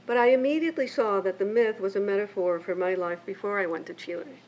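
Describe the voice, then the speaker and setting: An older woman, raised between Mexico and the United States, reads a prepared keynote speech in a measured, reflective way from her pages. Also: mid-range voice, a little mellow. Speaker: keynote speaker and memoirist. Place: lecture event. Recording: muffled lo-fi with faint hiss.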